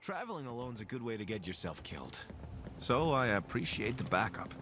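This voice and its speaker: An actor playing an affable, curious wasteland scavenger in a video game, casual, wry and eager to team up. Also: male voice, medium pitch, big pitch swings, a little fast, background noise, close to an everyday voice. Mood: easygoing. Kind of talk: video game companion dialogue.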